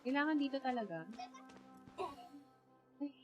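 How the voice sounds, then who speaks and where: A woman's voice: sits high, expressive, moderate pace, highs cut off, faint music behind. A woman with a Filipino accent giving casual, chatty livestream game commentary, thinking aloud as she plays. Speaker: game streamer. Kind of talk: casual livestream gameplay commentary in mixed English and Tagalog.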